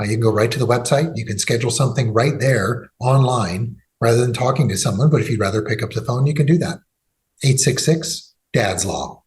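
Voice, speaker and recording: A man's voice: mid-range voice, a little mellow. A man hosting a legal podcast, talking in a loose conversational way with fillers and restarts. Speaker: podcast host and law firm executive.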